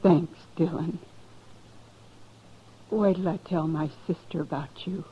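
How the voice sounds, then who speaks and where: A woman's voice: lowish voice, takes it slowly. An actress playing a battered, plainspoken frontier woman with an American accent and simple country speech, in a muffled 1950s radio western. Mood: subdued, grateful. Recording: muffled, noisy old 1950s radio recording.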